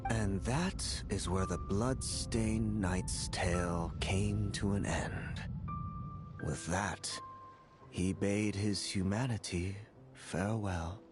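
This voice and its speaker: An actor playing an ominous, sardonic shadowy watcher in a video game, speaking formally and softly in a measured near-whisper. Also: male voice, sits low, over music. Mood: cold irony. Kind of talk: dramatic video game voice acting.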